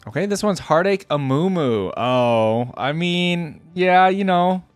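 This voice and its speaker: A man's voice: on the high side, lively intonation, slow, soft background music. A man doing casual reaction commentary as a video host, musing and thinking aloud in an informal way.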